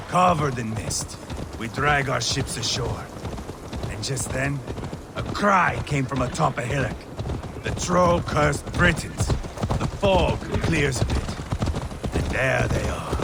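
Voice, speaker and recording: An actor playing a proud, surly Viking raider chief who tells war stories in a gritty, deliberate video game performance. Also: male voice, mid-range voice, heavy background noise. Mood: brooding, proud reminiscing. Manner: gritty, deliberate storytelling, acting out shouted quotes. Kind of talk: dramatic video game acting. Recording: loud outdoor ambience with horse hooves.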